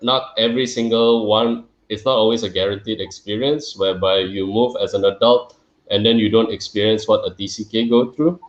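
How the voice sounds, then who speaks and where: Low-voiced man in echoey room. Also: steady tone, brisk, highs cut off. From Singapore. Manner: hesitant, with many filler sounds. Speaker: podcast host. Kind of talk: interview conversation.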